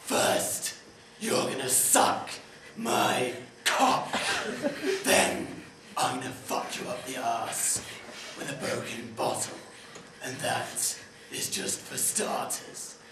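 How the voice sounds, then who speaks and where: A man's voice: mid-range voice, animated, very echoey, far from the mic, noisy background. A man playing a leering obscene caller. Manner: slow, leering, threatening. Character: menacing obscene male anonymous caller.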